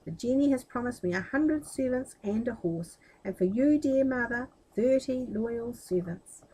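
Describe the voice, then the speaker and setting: A woman's voice: on the high side, lively intonation, measured pace, warm tone, breathy edge, pitched above the performer's own voice, poor audio. A woman voicing an eager, confident young man in a storybook reading. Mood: elated.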